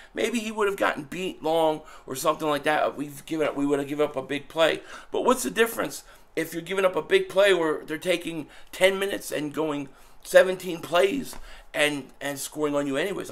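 A man in his thirties, hoarse from losing his voice, giving animated casual sports commentary from a small room at home. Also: fairly high pitch. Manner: animated, fan talk with frequent restarts. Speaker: sports vlogger.

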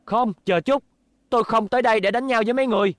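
A man's voice: very high voice, fast, no room sound. An actor playing an earnest, lovestruck young male robot racer with dramatic delivery in a dubbed cartoon. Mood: reluctant, strained.

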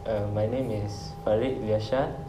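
A man's voice: lowish voice, steady tone, moderate pace, over music. A young man from Singapore giving laid-back, hedging interview answers. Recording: roomy, noisy location sound.

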